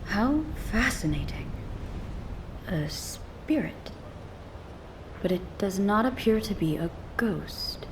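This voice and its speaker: A woman's voice: mid-range voice, expressive, slow, pauses often, noisy background. An actress playing a bewildered, quaintly solemn woman out of her own time in a video game, hesitant and wondering. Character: bewildered woman waking from century-long sleep, quaintly solemn.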